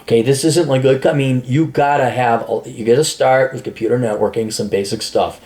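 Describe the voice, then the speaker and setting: A man's voice: medium pitch, fairly even intonation, brisk, darkish tone. A man hosting a podcast, giving blunt, profane career advice in a rambling, casual monologue full of restarts.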